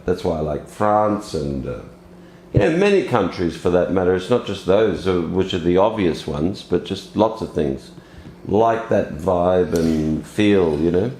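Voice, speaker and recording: A man's voice: deep voice, lively intonation, measured pace, darkish tone. A man in his fifties from Wales, an actor chatting casually in an interview in a rambling, jokey way. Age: 50s. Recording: echoey room with faint noise.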